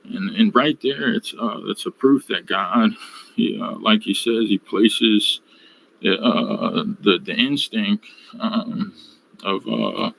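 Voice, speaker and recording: A man reflectively recounting his personal faith journey in a study group, halting and searching for words over a video call. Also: fairly low pitch, creaky, a bit bright, a little hiss or hum, rough recording.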